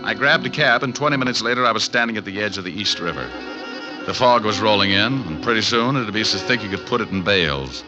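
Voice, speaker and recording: An actor playing a wisecracking, tough private detective in vintage radio drama style, dry and sardonic. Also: male voice, on the low side, fast talker, lightly acted. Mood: hardboiled narrating. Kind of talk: 1940s-50s radio detective acting with first-person narration. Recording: dull vintage radio recording with music.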